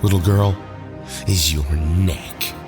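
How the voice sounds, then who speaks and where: A man's voice: deep voice, fairly even intonation, slow pace, music in the background. An actor playing a cold, taunting young brainwashed villain in an audio drama, sneering and mocking as he threatens.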